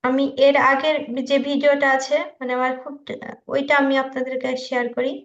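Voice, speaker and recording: A woman's voice: sits high, level tone, fairly quick. A woman presenting casually to an online group, with a slightly nervous, hesitant manner. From India. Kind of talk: casual screen-share presentation. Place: online group video call. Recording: echoey, muffled online call.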